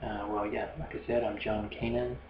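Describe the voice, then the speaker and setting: Casting: New Zealand male podcast host, low monotone, hesitant rambler, muffled roomy recording with steady noise. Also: normal speed. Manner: hesitant, many fillers and restarts. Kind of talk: rambling solo podcast monologue.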